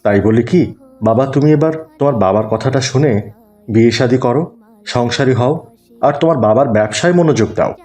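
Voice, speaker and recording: A man's voice: mid-range voice, brisk, dark tone, lightly acted. A man playing a kindly, pious older neighbour who gives patient, earnest advice in an Islamic cartoon. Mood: earnest admonishing.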